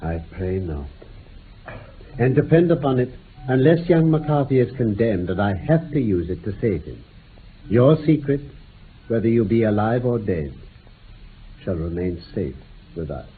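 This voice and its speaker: An actor playing a shrewd, composed gentleman detective in a radio drama, speaking in a measured, formal, probing way. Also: on the low side, lively intonation. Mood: grave. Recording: muffled phone-like old radio recording, hiss.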